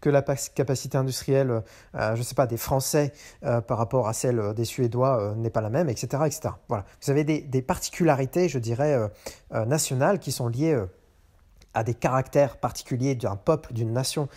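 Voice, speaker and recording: A man's voice: medium pitch, brisk, warm tone. A man hosting a show, talking unscripted and hesitant, with many fillers and restarts. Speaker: podcast host. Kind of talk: unscripted monologue on economics. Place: in a car.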